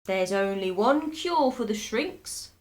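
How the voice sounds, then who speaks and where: A spiteful old storybook husband, played by a woman reader. He taunts and bullies at a slow pace with mock solemnity, swinging in pitch as he gloats. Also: animated. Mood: grimly gleeful.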